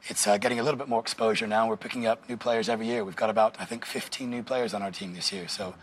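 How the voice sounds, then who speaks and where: A man in his thirties with an American accent softened by years in Wales, a quiz contestant who hesitates and reasons out loud. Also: mid-range voice, lively intonation, very fast, gravelly. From northwest United States. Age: 30s. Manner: hesitant, thinks aloud, repeats words. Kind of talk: quiz show chat.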